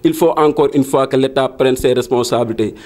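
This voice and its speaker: A man's voice: mid-range voice, a little fast, crowd noise. A man with a Senegalese accent making an impassioned statement to the local press, mixing Wolof and French and repeating his points. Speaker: protesting villager.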